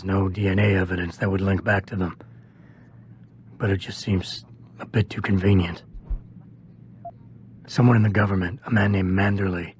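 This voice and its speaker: Guy doing stoic game security agent, low voice, terse n restrained, noisy ambience behind. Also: lightly acted. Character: stoic gravelly male security agent investigating a cover-up. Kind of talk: video game cutscene acting.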